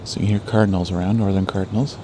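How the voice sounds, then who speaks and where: A man hosting a birding show, explaining what he observes in a measured way as narration and field commentary. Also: low voice, fairly even intonation, background noise. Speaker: birding podcast host.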